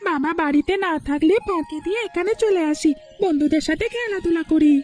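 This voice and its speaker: A woman's voice: extremely high pitch, brisk. A woman voicing an earnest young village schoolboy in a cartoon, polite and hopeful. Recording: soft music underneath.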